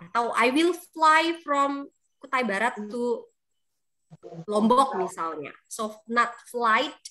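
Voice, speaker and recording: An Indonesian-accented woman teaching English gives her class easygoing feedback in a slightly high voice. She talks in a chatty, encouraging way, laughs often and fills her pauses with um. The sound has the feel of a video call. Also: expressive, pushed and bright. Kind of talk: casual evaluation at the end of an online class.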